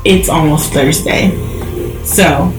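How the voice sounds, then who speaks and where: A woman's voice: low voice, takes it slowly, music in the background. Playful woman podcast host, roomy sound. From Jamaica. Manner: playful, laughing, teasing. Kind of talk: casual chat. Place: bedroom.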